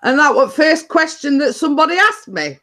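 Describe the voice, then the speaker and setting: A middle-aged woman hosts a relaxed chat. She rambles through her story, often stops to chuckle, and uses dialect turns like "were" and "me day". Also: sits high, fairly quick. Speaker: host. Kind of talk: casual chat.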